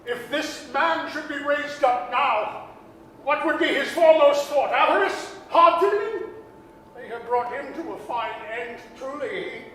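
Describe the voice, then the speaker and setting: A frightened old miser, pleading and stammering in a very high, piercing voice. He speaks slowly and with anguish, as in old radio drama. The sound is distant, in a big echoing hall. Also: expressive, fairly bright tone, exaggerated voice. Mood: dread. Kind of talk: 1930s radio drama staged reading. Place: performing arts center stage. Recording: echoey hall from far off, faint noise.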